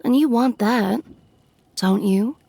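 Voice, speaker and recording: Woman playing a slow, creaky-voiced aristocratic vampire lady. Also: on the high side, warm tone, no room sound, full character voice. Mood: sweetly menacing. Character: commanding, coolly condescending aristocratic female vampire. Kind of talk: dark comedy audio drama acting.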